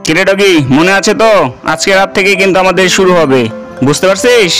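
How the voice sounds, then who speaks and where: A man's voice: high voice, a little fast, fairly bright tone. A man voicing a sly, cheerful village sap thief in a cartoon, with music behind him. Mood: scheming. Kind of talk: Bengali cartoon dubbing.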